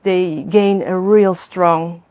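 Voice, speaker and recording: A Dutch woman in her fifties, a midwife, telling her own story earnestly, with pauses, fillers and restarts. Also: on the low side, fairly even intonation, dark tone, no echo. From Netherlands. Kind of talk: personal testimony.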